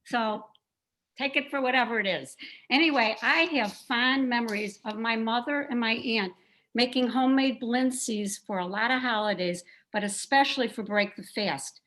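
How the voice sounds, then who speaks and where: A woman's voice: fairly high pitch, normal speed, a bit bright, poor audio. A woman telling personal family stories in a conversational, confiding manner, partly read aloud. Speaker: participant sharing a family memory.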